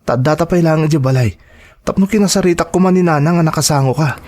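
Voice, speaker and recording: Earnest young boyfriend for radio drama, male actor, Ilocano Filipino accent, respectful, slightly high pitch. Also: fast, a little mellow, lightly acted. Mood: earnest, questioning.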